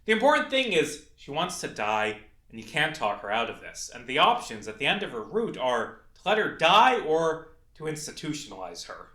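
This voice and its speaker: A man's voice: fairly high pitch, huge pitch swings, normal speed, sharp tone, echoey room. A man narrating a scripted video essay about his own project, with emphatic, dramatic delivery. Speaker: indie game creator presenting a project.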